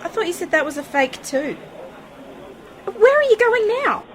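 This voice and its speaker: A woman's voice: very high voice, big pitch swings, normal speed. A woman playing a questioning, easily exasperated young companion to her uncle in an amateur science-fiction radio play. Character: inquisitive, headstrong niece, a Martian historian companion. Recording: noisy home-made radio play recording.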